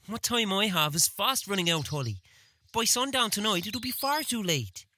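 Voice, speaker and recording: An actress playing a determined, protective older sister, a young villager speaking earnestly in a fantasy audio drama. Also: female voice, mid-range voice, normal speed, no room sound. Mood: fretful. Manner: earnest, expressive.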